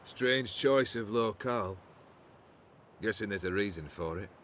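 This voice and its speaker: An actor playing a proud, wistful cursed immortal nobleman in a video game, with theatrical, measured delivery over music. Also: fairly low pitch, fairly bright tone, a little hiss or hum. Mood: wary, haughty.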